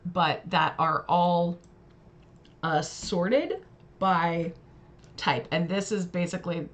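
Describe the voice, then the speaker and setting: A woman hosting a livestream, talking casually and reflectively, sharing her opinions as commentary. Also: fairly low pitch. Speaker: livestream host reading and discussing fairy tales. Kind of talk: casual spoken commentary to a live audience.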